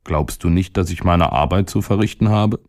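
A man voicing a talking stomach in a storybook reading, calm, patient and unhurried. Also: low voice, no room sound, clean studio sound, close to an everyday voice.

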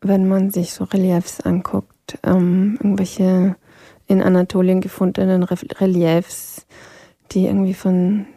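A Vienna-born woman whose first language is Polish, a singer answering interview questions thoughtfully and pausing to search for words. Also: lowish voice, monotone, mellow, no room sound, clean studio sound. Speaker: singer guest. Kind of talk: interview conversation.

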